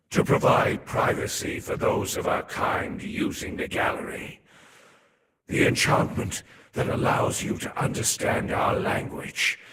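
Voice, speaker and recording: A man voicing a haughty, ominous serpent-folk overlord in a fantasy game, speaking in solemn, formal, imperious pronouncements with a breathy, whispery tone. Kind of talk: scripted fantasy game lore narration. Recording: echoey hall reverb with ambient noise.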